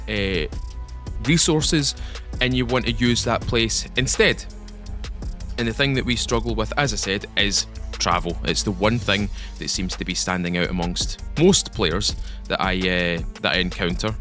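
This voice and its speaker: A man's voice: mid-range voice, a bit bright. A young Scottish man giving a rambling, enthusiastic update on a game for his channel. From Scotland. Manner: rambling, enthusiastic, repeats himself. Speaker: gaming YouTuber. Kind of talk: casual game update commentary.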